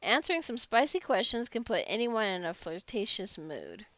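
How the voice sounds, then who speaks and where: A woman's voice: mid-range voice, big pitch swings, moderate pace, a bit bright, dry recording. A woman giving casual let's play commentary, chatty and rambling as she muses aloud. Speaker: gamer narrating own gameplay.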